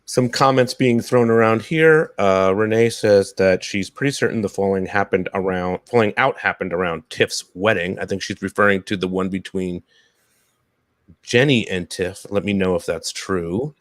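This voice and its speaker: A man's voice: low voice, normal speed, no echo. A middle-aged man hosting a casual live podcast chat. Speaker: podcast host. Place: small room at home, indoors.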